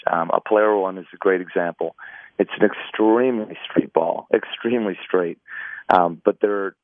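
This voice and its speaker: Man, raspy, hoarse, low voice, dry tinny phone line, hesitant restarts. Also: dry recording. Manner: hesitant, with many restarts and fillers. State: hoarse after three days of talking. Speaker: golf company co-founder guest. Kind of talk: interview over a phone line.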